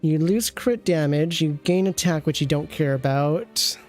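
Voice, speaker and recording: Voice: medium pitch, animated, unhurried, darkish tone, faint music behind. A game streamer reviewing an account with casual commentary, thinking aloud and often trailing off mid-thought.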